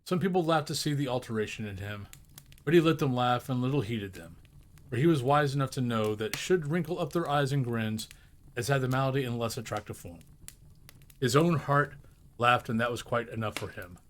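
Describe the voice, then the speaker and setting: A man reading a classic story aloud as narrator, in an expressive storybook style. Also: medium pitch, a little fast, slight background noise.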